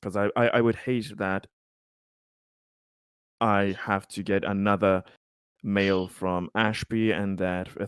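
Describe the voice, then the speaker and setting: A man playing a cautious, budget-minded colony council member in a tabletop game, speaking haltingly and deliberately. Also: low voice, smooth, no room sound, clean studio sound. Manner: hesitant, halting, deliberative delivery. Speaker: player in a tabletop roleplaying game. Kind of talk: improvised in-character tabletop roleplay.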